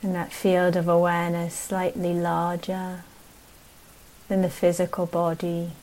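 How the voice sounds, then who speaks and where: A woman teaches meditation in a fairly low voice that barely moves in pitch. She speaks very slowly and gently, offering short phrases and leaving long pauses between them as she guides the practice. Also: monotone, rough recording.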